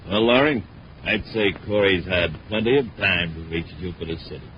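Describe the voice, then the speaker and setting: A man's voice: sits low, moderate pace, lightly acted. An actor playing a smug, scheming male villain, gloating and self-assured, in a 1950s radio serial. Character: smug, scheming male crook who runs a cargo racket. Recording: muffled, noisy 1950s radio recording.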